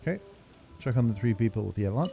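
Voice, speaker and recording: A man's voice: on the low side, animated, fast talker, creaky, warm tone, soft background music. A laid-back man hosting a game playthrough, casually commenting and reading text aloud. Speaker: gaming video host.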